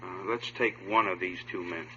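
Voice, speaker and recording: An actor playing a steady, methodical police homicide captain in a radio drama. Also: male voice, sits low, moderate pace. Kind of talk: 1950s radio drama acting. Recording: dull old radio recording, faint hum.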